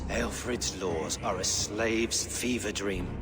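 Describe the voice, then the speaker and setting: A man's voice: lowish voice, fairly even intonation, takes it slowly, over loud music. A man voicing a cruel, zealous reeve in a video game, declaiming judgments in a theatrical, sneering way. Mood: cold menace. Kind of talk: dramatic game voice acting.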